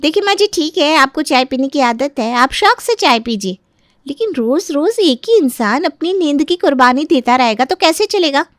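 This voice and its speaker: A woman's voice: high voice, expressive, normal speed, no room sound, studio quality. A woman playing a defiant, crafty young daughter-in-law who argues and complains in a dramatized moral story. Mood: indignant. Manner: argumentative, protesting.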